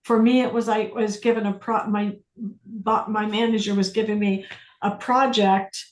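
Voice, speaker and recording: A woman facilitating a self-inquiry class, speaking deliberately with pauses, questions and phrases repeated for emphasis. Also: mid-range voice, fairly even intonation. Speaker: workshop facilitator leading a group class. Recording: roomy echo on the voice.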